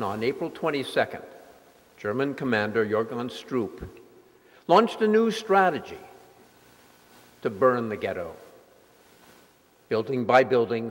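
A man performing as the grave narrator of a wartime dramatic reading. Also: mid-range voice. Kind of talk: solemn scripted narration. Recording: long hall reverberation.

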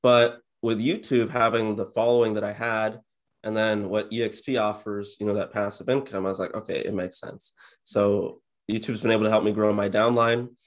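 Man, twenties, lowish pitch, understated monotone manner, casual interview talk, video call. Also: moderate pace. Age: 20s. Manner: low-key, introverted, self-described monotone. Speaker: interview guest, real estate agent and YouTuber. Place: indoor home office, on a video call.

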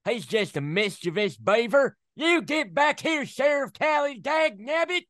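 A high twangy cartoon beaver. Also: male voice, big pitch swings, measured pace, a bit bright, exaggerated voice. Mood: mischievous. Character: mischievous male cartoon beaver, folksy.

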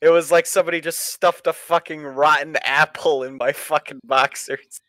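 A man's voice: a little high, fairly even intonation, normal speed, sharp tone, no echo. A young man in casual, joking chat, swearing freely and telling stories in a crude way.

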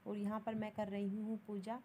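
Young woman vlogger, mellow and even voice-over, plain and matter-of-fact, slight background noise. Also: medium pitch, normal speed. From Haryana, India. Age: young adult. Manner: plain, matter-of-fact, mixing in English words. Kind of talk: casual voice-over narration of daily routine.

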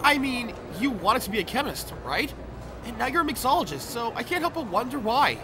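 A man's voice: very high-pitched, big pitch swings, brisk, noisy background, heavily acted. A man playing a cheeky, good-natured young professor in an audio drama, playful and bantering. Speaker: voice actor. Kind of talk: audio drama acting with first-person narration.

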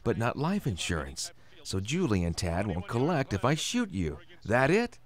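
Mocking, menacing gunman, lively pitch. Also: moderate pace. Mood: cold menace. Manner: mocking, taunting. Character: menacing, sardonic gunman toying with his hostage. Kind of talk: radio drama acting.

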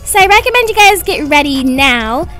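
A woman's voice: high voice, very animated, moderate pace, loud music behind the voice. A woman voicing a fussy, stressed father of young twins in a roleplay story, with a nagging, exasperated delivery.

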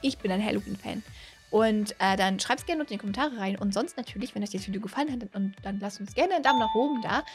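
A woman in her twenties hosting a gaming video with excited, casual reaction commentary, gushing and often repeating her words, recorded on a close studio microphone. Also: medium pitch, fast, faint music behind. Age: 20s. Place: small indoor streaming room. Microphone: close studio microphone on a boom arm.

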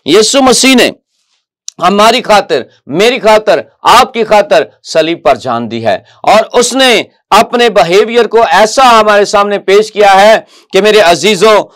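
A middle-aged man with a Pakistani Urdu accent delivers an earnest sermon, often stopping to ask his listeners questions. His voice sits fairly high for a man. He speaks into a lapel mic in a television studio. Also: lively intonation, unhurried, a bit bright. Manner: earnest preaching with rhetorical questions, repeated "my dear ones". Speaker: pastor. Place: TV news studio, indoors.

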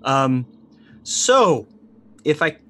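A man in his forties improvising casual narration as a tabletop game player, halting as he thinks aloud. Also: medium pitch, big pitch swings, fairly bright tone, a little hiss or hum. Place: small indoor room, remote stream.

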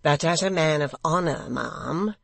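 A man's voice: a little high, unhurried, vocal fry, a bit bright, no echo, voice pushed up, heavily acted. A man voicing a sly, mock-courteous blind extortioner in a theatrical, insistent storybook reading.

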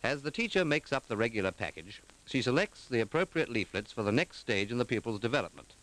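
A man reading scripted narration for a 1940s documentary film in a measured, formal, articulate manner. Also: medium pitch, very animated, a bit bright, rough recording. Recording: 1940s film soundtrack with music.

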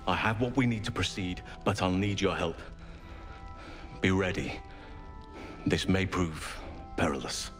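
A deep, solemn elven druid. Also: male voice, over music. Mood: resolute. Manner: grave, measured, formal phrasing. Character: solemn, earnest old elven druid, large and resolute. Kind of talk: video game character acting.

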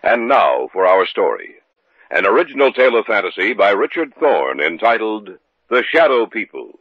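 An actor playing an ominous, theatrical host of an old-time supernatural radio show. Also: male voice, fairly low pitch, sing-song, moderate pace, dull audio. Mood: ominous, grand. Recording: old radio, cavernous echo, music behind.

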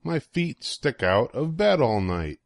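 An older man reading a children's picture book aloud in an expressive, sing-song way with deliberate pauses. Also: mid-range voice, vocal fry, no room sound, clean studio sound. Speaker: storybook reader.

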